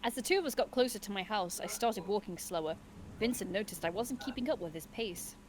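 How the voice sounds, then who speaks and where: A giggly, animated woman streamer reading visual novel narration aloud expressively, mixed with casual banter. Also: mid-range voice, fairly quick, slight background noise. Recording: game music behind.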